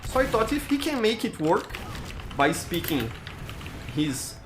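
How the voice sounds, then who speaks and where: A man's voice: sits high, very animated, measured pace, noisy background. A man with a Brazilian accent, a native Portuguese speaker, chatting casually as a game streamer and often repeating words as he searches for them. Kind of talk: casual gameplay commentary, answering chat.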